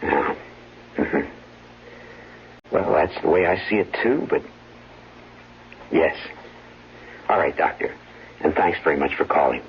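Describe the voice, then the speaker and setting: An actor playing a solicitous, evasive husband, coaxing and protesting earnestly in an old-time radio drama. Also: male voice, rumbling low, slow, vocal fry, pauses often, exaggerated voice. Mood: hesitant, smooth. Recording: muffled, hissy, humming old radio recording.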